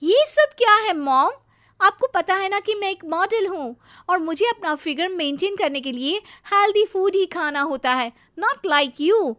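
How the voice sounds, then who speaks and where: A woman voicing a spoiled, haughty young model in an animated family drama, petulant and snappy, mixing in English words. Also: extremely high pitch, a little slow, pitched above the performer's own voice, full character voice. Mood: furious, complaining. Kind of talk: melodramatic animated story voice acting.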